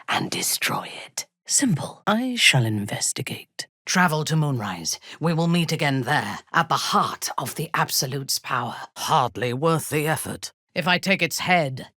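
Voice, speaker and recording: A proud, imperious female dark elf war leader for a fantasy video game. Her voice is breathy and husky, recorded close and dry. She speaks slowly and formally, with wide, expressive swings of pitch and cold disdain. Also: dry recording, exaggerated voice. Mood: disdainful, commanding. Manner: measured, haughty, formal diction. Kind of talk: video game character dialogue.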